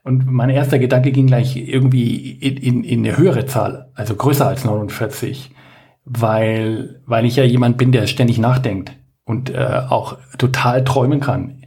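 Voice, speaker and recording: A man's voice: mid-range voice, steady tone, normal speed, darkish tone. A man in his late forties, a guest coach on a podcast, talking casually with lots of fillers, restarts and self-mocking jokes. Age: 40s.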